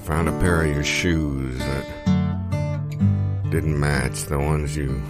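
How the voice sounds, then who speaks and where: Man acting as jilted country farmhand, extremely low voice, folksy deadpan rambling, wounded and stubborn, improv comedy monologue, music behind. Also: slow. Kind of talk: improvised spoken monologue over guitar.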